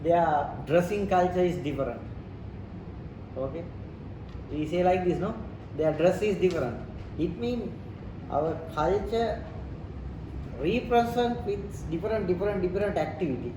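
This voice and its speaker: A man's voice: sits high, unhurried, long pauses. A man from Sri Lanka with a Sri Lankan accent, speaking non-native English as he explains ideas step by step, often repeating phrases. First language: not English. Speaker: interviewee explaining leadership and culture. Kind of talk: informal explanatory teaching talk. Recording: roomy, distant mic, noisy background.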